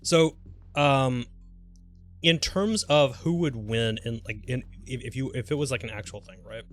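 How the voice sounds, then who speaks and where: A man livestreaming a video game, chatting casually and thinking aloud in a halting, mildly sweary way. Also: medium pitch. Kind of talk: casual gameplay commentary and rambling chat. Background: music.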